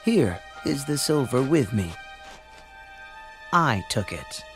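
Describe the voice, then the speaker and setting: A man's voice: mid-range voice, sing-song, slow, faint music behind. A man playing a penitent son in a dramatized Bible recording, speaking earnestly. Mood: guilty confession. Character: confessing son returning his mother's stolen silver. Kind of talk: dramatized Bible audio acting.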